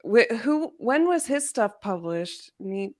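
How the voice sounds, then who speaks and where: A woman in a casual book discussion, speaking in an informal, exclamatory and animated way. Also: on the high side, slow. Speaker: guest discussing a novel in a readalong livestream.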